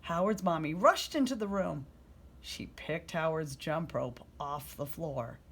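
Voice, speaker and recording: A woman reading a children's story aloud as a storyteller, in expressive narration. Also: sits low, a little slow, a little hiss or hum.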